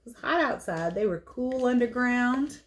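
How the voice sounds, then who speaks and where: A woman's voice: on the high side, lively intonation, normal speed. Lady teacher doing a kids read-aloud, chatty, stops to explain stuff as she goes. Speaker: teacher reading picture books aloud. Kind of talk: read-aloud for children with casual asides.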